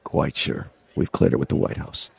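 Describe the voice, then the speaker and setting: An assured, curt official's aide in a radio drama. The voice is bottom-deep, heavily husky and gravelly, recorded dry. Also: male voice, very animated, moderate pace, a little mellow, no echo, full character voice. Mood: quietly assured.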